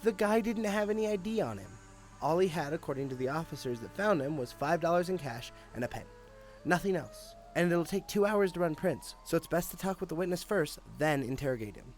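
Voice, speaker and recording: Male actor, police detective, cynical, confident, sardonic, fairly high pitch, audio drama, background music. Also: animated, brisk, lightly acted. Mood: sardonic, assured. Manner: sardonic, matter-of-fact.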